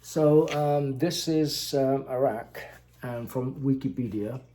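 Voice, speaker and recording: Indonesian-born male vlogger, slow, mellow, halting delivery. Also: mid-range voice. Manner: slow, halting, many fillers. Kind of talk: casual talk to camera.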